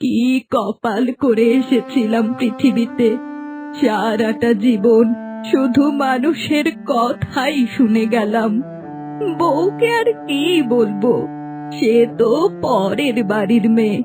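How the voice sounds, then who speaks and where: A woman's voice: fairly high pitch, slow, over music. A woman playing a frail, meek old mother-in-law in a cartoon folk tale, pleading in a weary, plaintive drawl. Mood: sorrowful. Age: older.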